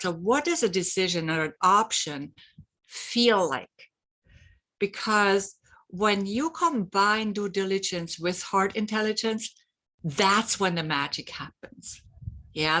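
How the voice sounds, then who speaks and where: A woman's voice: mid-range voice, a bit bright. A middle-aged woman whose first language is German, hosting a reflective solo talk about heart and courage and leading a short exercise, earnest and repeating herself with small restarts. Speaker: host.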